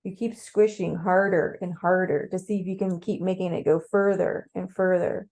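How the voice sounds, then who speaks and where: A woman narrating a guided meditation as a story in the second person, conversational and playful, with gentle pauses. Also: fairly low pitch, fairly even intonation. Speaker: storyteller leading a guided visualization.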